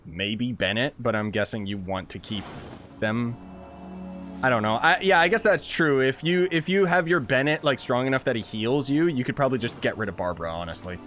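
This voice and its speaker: A chatty young man streaming a game, rambling over what he plays and answering chat. His voice sits mid-pitch, and soft game music plays behind him. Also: normal speed. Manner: chatty, rambling, reacts with laughs. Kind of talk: casual live gameplay commentary.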